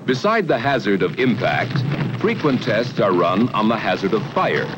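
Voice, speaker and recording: An American man narrating a 1960 educational film in a formal, measured, authoritative way, on a vintage film soundtrack. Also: fairly low pitch, sing-song, music in the background. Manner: formal, measured, authoritative delivery with emphatic phrasing.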